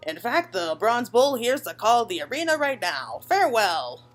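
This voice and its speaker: A woman voices an earnest young man, a doting brother in a video game. His delivery is lively in pitch, with quiet music behind. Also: normal speed. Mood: flustered.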